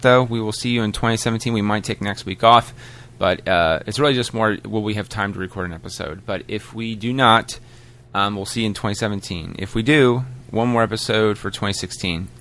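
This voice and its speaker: A man hosting a podcast, making informal, halting announcements in an apologetic way. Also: lowish voice, steady tone, fairly bright tone. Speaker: podcast host. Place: live show at a comic shop, before an audience. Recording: roomy, distant mic, faint noise.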